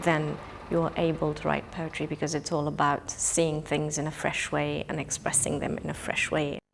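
A woman's voice: fairly low pitch, slight background noise. A woman in her thirties, a poet from Kurdistan whose first language is Kurdish, speaking thoughtfully in a documentary interview on a lapel mic. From Kurdistan, northern Iraq. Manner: thoughtful, searching for words, gestures with hands. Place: indoors, in front of a purple wall.

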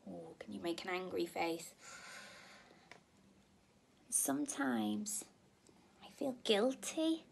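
Young female nursery teacher for story time: gentle, expressive delivery to little ones, very unhurried, with noticeable vocal fry. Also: medium pitch. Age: young adult. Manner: expressive, gently addressing small children. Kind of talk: children's story reading. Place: indoor room at home.

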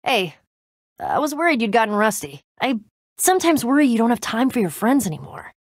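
A woman's voice: on the high side, sing-song, a little fast, breathy edge, dry recording, studio quality, heavily acted. A young woman playing a brash, teasing but loyal warrior in a video game, with cocky, sarcastic, slangy banter. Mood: teasing, reproachful. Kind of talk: animated video game character acting.

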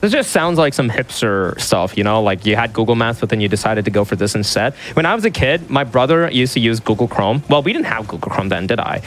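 A man's voice: mid-range voice, a little fast, few pauses, a little hiss or hum, low-quality recording. A man in his twenties, a speedrunner, chatting casually and joking at himself while he plays. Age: 20s. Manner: lively, chatty, self-mocking. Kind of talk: casual commentary while playing.

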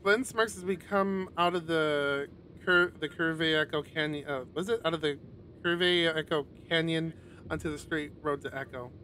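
A man's voice: on the high side, steady tone, normal speed, pushed and bright, slight background noise. A man streaming a visual novel, reading the narration aloud and dropping in casual, sweary asides. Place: home streaming setup, livestream.

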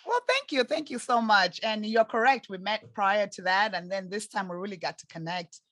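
A woman's voice: mid-range voice, animated, moderate pace, a bit bright, no room sound. A woman in her forties with an East African accent, earnestly telling her life story as a podcast guest. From Tanzania and Zambia. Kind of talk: interview storytelling.

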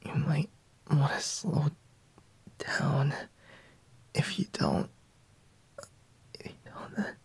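A man playing a sleepy, intimate boyfriend in a whispered ASMR roleplay, murmuring haltingly in a breathy, husky voice. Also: a little high, darkish tone, dry recording, exaggerated voice. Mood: hushed, flustered.

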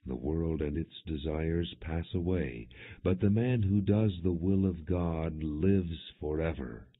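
A man narrating an audio Bible in a measured, solemn reading with deliberate phrasing. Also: extremely low pitch, slightly rough. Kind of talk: non-dramatized Bible reading. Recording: muffled, phone-like narrow-band audio.

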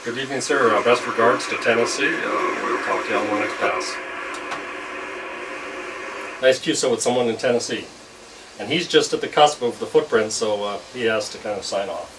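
A man's voice: medium pitch, a little fast. A man in his fifties, an amateur radio operator, making radio contacts and commenting casually to camera. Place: small radio shack room indoors. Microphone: camera across the room. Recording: noisy room, distant camera microphone. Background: loud hiss and radio noise.

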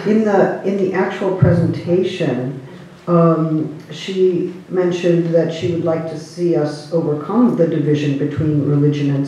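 A woman panelist calmly summarizing another speaker's talk, choosing words with care. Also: low voice, slight background noise. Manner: measured, careful, many fillers. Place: cinema hall panel table. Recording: echoey hall, distant mic.

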